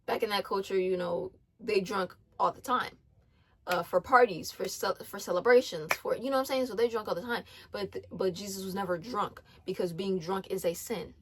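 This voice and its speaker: A woman's voice: mid-range voice, normal speed, breathy edge. A twenty-year-old woman giving casual faith-based advice, earnest, with many ums, restarts and repeated phrases. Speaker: faith vlogger.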